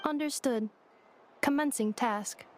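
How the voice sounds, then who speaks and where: High-voiced, placid robot maid. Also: female voice, slight background noise. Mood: methodical. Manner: measured, formal, matter-of-fact. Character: female robot maid with memory loss, earnest, literal, placid. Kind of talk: video game voice acting. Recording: game music behind.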